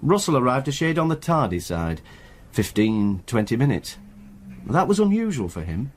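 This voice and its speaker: A courteous, slightly fussy accountant for radio drama. He hedges and apologises in a mid-pitched voice with very lively intonation. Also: moderate pace. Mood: evasive, fussy. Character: polite, evasive male professional accountant. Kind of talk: naturalistic radio drama acting.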